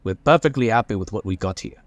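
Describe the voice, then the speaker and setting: A man's voice: lowish voice, animated, fast talker, no echo. A man playing a blunt, sarcastic, hostile father in a sci-fi audio drama. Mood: suspicious, dismissive. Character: defiant, gruff father in a space commune.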